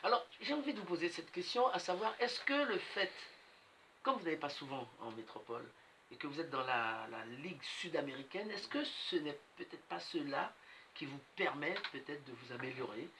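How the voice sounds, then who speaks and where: A man interviewing guests conversationally on radio, haltingly repeating words as he forms his questions. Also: fairly high pitch, lively intonation, a crowd in the background. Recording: clearly noisy radio interview recording.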